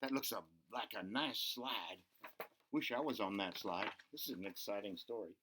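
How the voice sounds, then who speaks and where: A man's voice: fairly low pitch, normal speed, noisy background. A man hosting a children's read-aloud, playfully reading a picture book and murmuring chatty asides.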